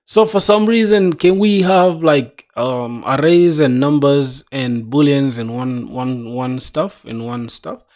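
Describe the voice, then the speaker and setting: Young man, from South Sudan, self-taught coder, slow and thoughtful, casual think-aloud. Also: mid-range voice, a little mellow. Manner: casual, musing, reads docs aloud, says "bro". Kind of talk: thinking aloud while coding.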